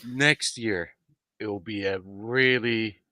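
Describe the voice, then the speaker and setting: A man whose first language is Arabic, explaining his thoughts calmly on a podcast and often checking in with "right?". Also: on the low side, slow, no echo, clean studio sound. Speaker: podcast panelist. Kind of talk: casual podcast chat.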